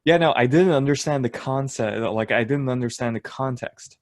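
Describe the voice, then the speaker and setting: A man's voice: medium pitch, normal speed, no room sound, studio quality. A young man co-hosting a casual podcast, rambling through jokey tangents and laughing often. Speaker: podcast co-host. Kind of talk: casual chat.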